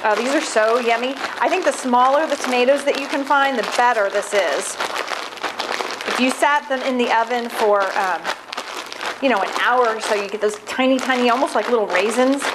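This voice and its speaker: A woman's voice: fairly high pitch, normal speed, crowd noise. A woman in her forties hosting a casual cooking demonstration in a large home kitchen, chatting upbeat while she preps food with her hands. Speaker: cooking show host. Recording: audible background noise.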